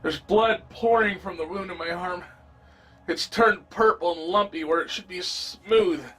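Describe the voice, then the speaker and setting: A man playing a wounded, frightened survivor, telling his story in a dramatic, halting first-person horror audio drama delivery. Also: high voice, fairly bright tone, slightly rough, full character voice. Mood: pained. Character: terrified wounded male survivor of a zombie horde.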